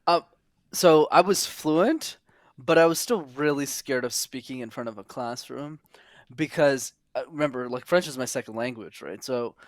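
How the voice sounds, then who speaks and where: Young man, podcast guest, earnest, animated, quick asides, dry recording. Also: mid-range voice, no room sound. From Montreal, Canada. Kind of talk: casual interview.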